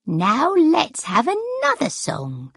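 An eccentric, queenly old lady in a storybook, acted by a woman narrator. Her voice is high and swoops about. She draws her words out in a grand, temperamental way. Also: wildly swooping pitch, fairly bright tone, dry recording, clean studio sound, full character voice. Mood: grand, gracious. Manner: crazy high voice, drawn-out delivery.

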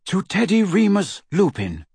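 A middle-aged wizard from a storybook, acted out by a male reader, weary yet emphatic. The voice is husky and very animated, with formal, declarative phrasing and a close, dry sound. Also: mid-range voice, a little slow, no room sound. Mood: happy, warm. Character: frightened then overjoyed weary middle-aged male wizard werewolf friend.

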